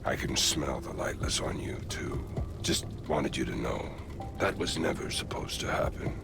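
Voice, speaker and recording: Game creature: extremely low gravel voice, gruff, sardonic, scornful of humans, music behind. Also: male voice, moderate pace. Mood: dry, resentful. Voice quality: gravelly, breathy rasp. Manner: blunt, sardonic, coarse-spoken. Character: gruff, cynical male Alori creature who disdains humans. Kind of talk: video game cutscene acting.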